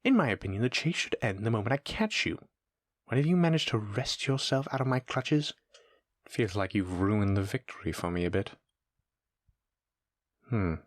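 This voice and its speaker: Man voicing a low-voiced, taunting shape-shifting monster. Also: animated, no echo, studio quality, close to an everyday voice. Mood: scheming. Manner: taunting, musing, unhurried menace. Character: sadistic playful male shape-shifting monster, sinuous and many-legged. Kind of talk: horror audio roleplay addressed to the listener.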